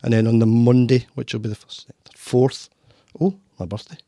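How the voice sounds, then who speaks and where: A man's voice: mid-range voice, steady tone, moderate pace, dark tone, dry recording. A Scottish man hosting a casual podcast chat from his studio, rambling with frequent restarts. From Scotland. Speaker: podcast host. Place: home studio.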